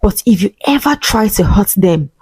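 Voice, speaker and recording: A woman acts out a wronged young mother in a storybook drama. She is tired and hurt but firm and reproachful, at a medium pitch in a very dry recording. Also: lively intonation, normal speed, darkish tone, breathy edge, dry recording. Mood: stern.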